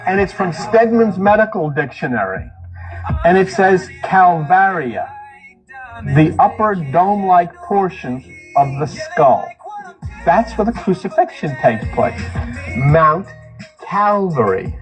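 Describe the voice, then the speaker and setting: A man's voice: high-pitched, expressive, slow, poor audio. A man giving an emphatic, explanatory lecture on word meanings and symbolism. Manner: rhetorical questions, explanatory, emphatic. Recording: played clip with music underneath. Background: music.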